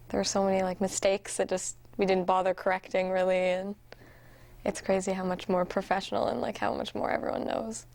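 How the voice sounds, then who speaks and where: A woman's voice: mid-range voice, a little mellow, no echo. A young woman casually reminiscing, as a member of a show's crew. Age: young adult.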